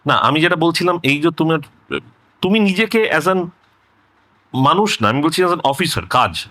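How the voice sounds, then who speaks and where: A man's voice: on the high side, expressive, a little slow, sharp tone, no room sound. A man interviews in a casual, familiar way, rephrasing as he goes and mixing in English words.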